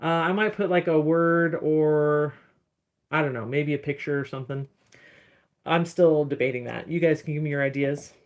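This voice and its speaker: A woman with a deep voice chatting casually on a phone livestream from her studio, rambling a little as she answers viewers' comments. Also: fairly even intonation, normal speed, narrow, tinny audio. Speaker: encaustic artist streaming live. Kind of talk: casual livestream chat while working.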